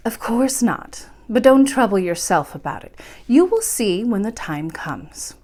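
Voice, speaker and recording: A woman reader plays a kindly magical grandmother from a storybook. She is serene and patient, gently instructive, with a lively rise and fall in her speech. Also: medium pitch, measured pace. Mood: reassuring. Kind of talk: storybook character voice in a read-aloud.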